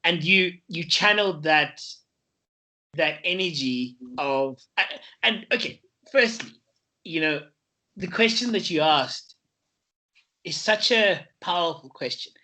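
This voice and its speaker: Bright-toned man hosting a podcast. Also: a little high, slow, poor audio. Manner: rambling, hesitant questions. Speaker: podcast host. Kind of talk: podcast interview.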